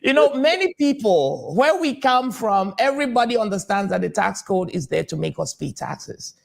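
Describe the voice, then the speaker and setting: A man's voice: sits high, very animated, moderate pace, creaky. A man from Cameroon, a tax advisor answering interview questions, confident and repeating his key points with some hesitant fillers.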